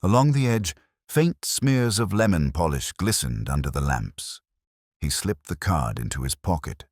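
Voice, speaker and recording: A man narrating a scripted comic mystery story in a measured, wry, literary way. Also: low voice, very animated, darkish tone, no echo, studio quality. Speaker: audiobook narrator.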